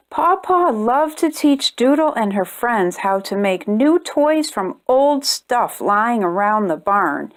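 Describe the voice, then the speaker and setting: A woman reading a children's storybook aloud with expressive, deliberate delivery. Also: a little high. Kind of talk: read-aloud storybook narration for young children.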